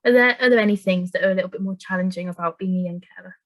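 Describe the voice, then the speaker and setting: A woman interviewing a young carer, asking questions and responding in an encouraging, warm way. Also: fairly low pitch, very fast, no room sound.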